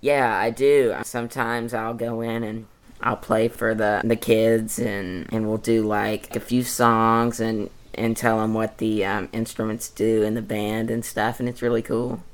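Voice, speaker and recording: A man's voice: lowish voice, little pitch movement, measured pace, clear, resonant tone. A teenage young man, chatting casually and a little hesitantly as an interview guest. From East Tennessee, United States. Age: teenager, about 14. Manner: hesitant, informal, youthful phrasing. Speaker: interview guest, young bluegrass mandolin player.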